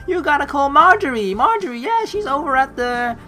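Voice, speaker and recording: Man doing a shrill, pushy call-centre clerk. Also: lively intonation, a little fast, pushed and bright, exaggerated voice. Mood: dismissive. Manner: pushy, repetitive, exaggerated. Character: brash, pushy male call-centre runaround clerk, parody. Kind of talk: comic improvised skit. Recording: game music underneath.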